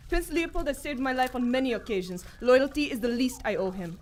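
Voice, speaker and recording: A woman's voice: high voice, fairly quick, lightly acted. A woman playing a proud, loyal captive adventurer in live radio theater, formal and defiant. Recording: live stage recording, faint background noise.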